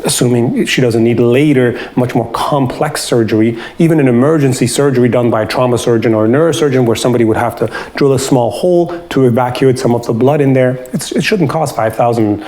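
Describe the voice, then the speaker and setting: Man, mid-pitched voice, conversational, instructive, explanatory advice talk, video host. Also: brisk, few pauses, darkish tone. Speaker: host, doctor giving travel health advice. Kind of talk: explanatory advice talk with acted-out example dialogue.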